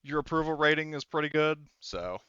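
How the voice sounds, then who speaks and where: A man's voice: on the high side, expressive, a little fast, pushed and bright, clean tone, dry recording, lo-fi sound. A man acting as game master, narrating a tabletop roleplay in a casual, rambling, conversational way.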